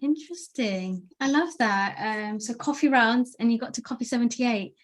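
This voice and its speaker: A woman's voice: on the high side, moderate pace. Upbeat woman moderating a panel. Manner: upbeat, enthusiastic. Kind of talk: online panel discussion. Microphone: video call.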